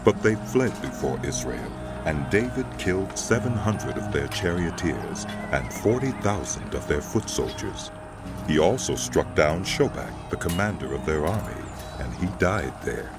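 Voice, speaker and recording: A man's voice: a bass voice, big pitch swings, unhurried. A man narrating scripture from a script in a solemn storytelling style. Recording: loud music bed behind narration.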